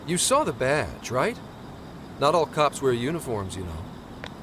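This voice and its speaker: A man's voice: mid-range voice, very animated, a little slow, noisy background. An actor playing an easygoing, flippant plainclothes policeman in a video game cutscene, confident and playfully theatrical. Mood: casual, teasing. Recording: music behind the voice.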